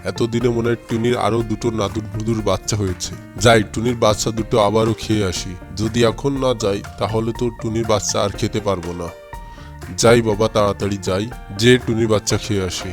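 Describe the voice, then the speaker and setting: A man's voice: on the low side, steady tone, moderate pace, over music, close to an everyday voice. Man acting a gloating, wicked cartoon fox. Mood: scheming. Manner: gloating, bullying, commanding. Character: wicked, greedy, taunting male fox.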